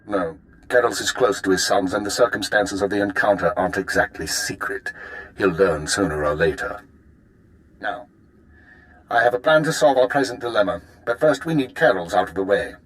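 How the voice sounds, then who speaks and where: Male actor as intelligence handler, video game, lowish voice, formal, measured briefing, cool and calculating. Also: a bit bright, lightly acted, poor audio. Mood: calm, grave. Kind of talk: dramatic video game acting.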